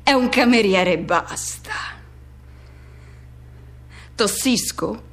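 A woman playing a lonely, self-effacing middle-aged man in an introspective, colloquial radio drama monologue with halting repetitions. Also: medium pitch. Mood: watchful, resigned.

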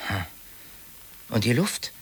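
A man's voice: mid-range voice, very animated, takes it slowly, a bit bright, husky, full character voice. A man playing a wary, inquisitive office worker in a naturalistic radio drama, politely probing and a little hesitant.

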